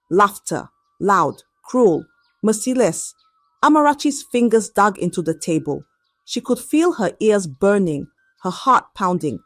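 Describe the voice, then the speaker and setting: A woman storyteller giving a dramatic scripted narration of a folktale in short, measured phrases. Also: mid-range voice, no room sound, clean studio sound.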